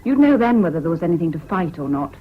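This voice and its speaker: A woman's voice: on the low side, big pitch swings, fast, slightly rough. An actress playing an earnest, sardonic young anti-fascist activist woman with an English accent, in 1970s radio drama style. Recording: muffled old radio recording, faint hiss.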